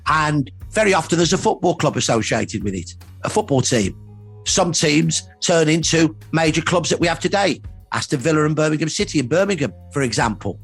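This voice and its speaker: A man in his sixties speaking as a history expert in a documentary interview, explaining emphatically with rhetorical questions and deliberate lists. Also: on the high side, fairly bright tone, slightly rough. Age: 60s.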